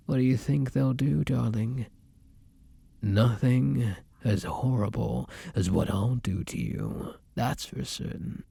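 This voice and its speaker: A man's voice: medium pitch, dark tone, dry recording, heavily acted. A man playing a hungry vampire in an ASMR roleplay, theatrical and intimate, predatory yet devoted to his beloved. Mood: taunting, menacing. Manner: theatrical, drawn-out endearments, breathy whispers. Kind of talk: dramatic ASMR roleplay acting.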